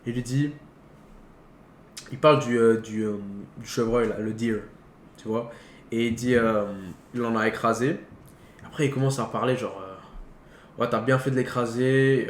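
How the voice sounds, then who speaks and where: Male co-host, loose slangy film chatter, medium pitch, echoey room with slight noise. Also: normal speed, pauses often. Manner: informal, slangy, rambling with half-finished thoughts. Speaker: co-host of a film talk show. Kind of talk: casual film debate between friends.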